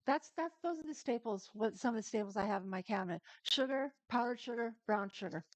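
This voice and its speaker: Chatty higher-voiced woman, dry sound. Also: lively intonation, brisk, no echo. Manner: chatty, talks straight to viewers, laughs easily. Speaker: cooking stream host. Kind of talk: casual live-stream cooking demo.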